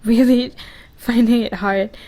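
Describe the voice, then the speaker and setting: A woman playing a vulnerable young woman confessing love in an intimate ASMR roleplay, halting and hesitant, often repeating her words. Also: a little high, steady tone, dark tone, close to an everyday voice. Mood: overwhelmed, tender.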